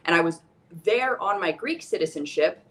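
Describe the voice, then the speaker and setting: A young woman with an American accent talks as a guest on a casual podcast. Her voice sits a little low for a woman. She tells her stories in a chatty, animated way. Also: moderate pace. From United States.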